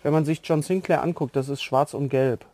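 A man's voice: fairly high pitch, fairly quick, darkish tone, dry recording. A man hosting a podcast interview in a casual, chatty, teasing way.

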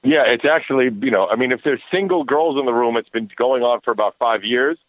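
A man's voice: mid-range voice, a little fast, no room sound. A man in his forties, a radio host guest, chatting casually and cracking self-mocking jokes over the phone. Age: 40s. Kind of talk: casual podcast chat. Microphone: phone line. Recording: tinny phone line, dry.

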